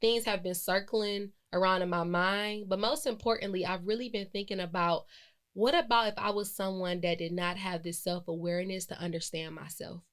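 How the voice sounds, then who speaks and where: A woman's voice: medium pitch, fairly even intonation, moderate pace, a bit bright. Chatty, reflective woman in her twenties. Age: 20s. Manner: chatty and reflective, with frequent fillers and self-corrections. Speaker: podcast host. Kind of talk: casual solo podcast monologue.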